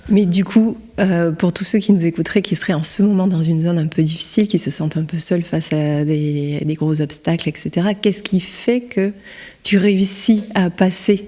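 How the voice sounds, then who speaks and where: A woman's voice: lowish voice, steady tone, normal speed, mellow. A woman hosting a podcast interview, asking curious, informal questions. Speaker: podcast host.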